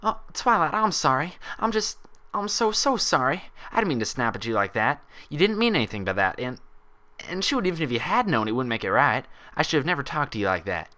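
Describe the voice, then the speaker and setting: A man's voice: mid-range voice, big pitch swings, brisk, bright, forceful tone, pitched above the performer's own voice. A man voicing a friendly, proud and touchy female cowgirl pony doctor in a storybook, with a folksy American Southern drawl. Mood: remorseful.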